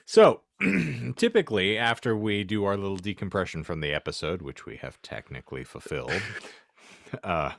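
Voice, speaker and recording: A man's voice: low-pitched, animated, normal speed, vocal fry, no room sound. A man hosting a casual podcast, bantering and reading aloud in a wry, mock-grandiose way. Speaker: podcast host.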